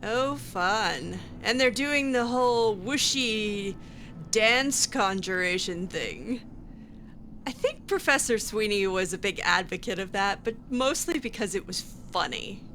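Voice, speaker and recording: A woman's voice: medium pitch, lively intonation, a little slow, pushed and bright, slight background noise. A woman improvising casual in-character dialogue as she plays a role-playing game, chatty and hesitant with a lightly sardonic edge. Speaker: gamer role-playing her character aloud.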